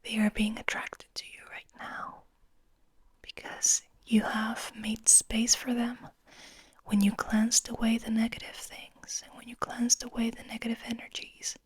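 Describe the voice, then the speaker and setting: A woman whispering breathily in a slow, soothing guided ASMR style, lingering on her words. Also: fairly high pitch, monotone, husky, no echo. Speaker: ASMR host.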